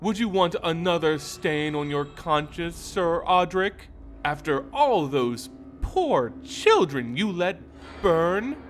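A man's voice: fairly high pitch, animated, slow, soft background music, voice pushed up. A man voicing a haughty female vampire lord in a fantasy story, coy, mocking and condescending. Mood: cool, taunting.

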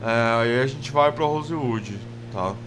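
Slow rambling Brazilian man gaming. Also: medium pitch, background noise. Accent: Brazilian Portuguese. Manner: relaxed rambling with many fillers. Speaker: gameplay video host. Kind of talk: casual let's play commentary.

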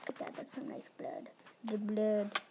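A woman's voice: medium pitch, animated, normal speed, creaky, warm tone, slight background noise. A woman giving casual, playful, chatty commentary during a video game roleplay. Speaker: gaming video host.

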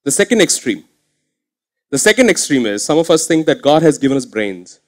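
A man in his thirties preaching a sermon on a church stage into a headset mic, earnest and swinging from quiet asides to emphatic points. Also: on the high side, big pitch swings, a little fast. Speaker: pastor. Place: church stage with curtain backdrop.

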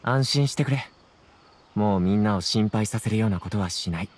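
A man's voice: lowish voice, moderate pace, a little mellow, lightly acted. A man playing an earnest, troubled young scholar with polite speech in a Japanese game. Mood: earnest, apologetic. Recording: clear background noise, insect ambience.

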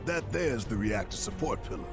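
An actor playing a big, hot-headed resistance fighter in a video game, with a gruff American voice and a brash, slangy, theatrical delivery. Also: male voice, medium pitch, expressive, normal speed, over music, close to an everyday voice. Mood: determined. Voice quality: gruff, gravelly.

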